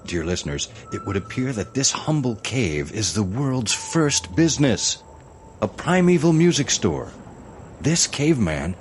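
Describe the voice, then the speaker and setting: An actor playing a pompous, excitable ghost of an old-time explosives salesman, narrating an audio musical in grandiose, old-fashioned language. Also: mid-range voice, a little hiss or hum.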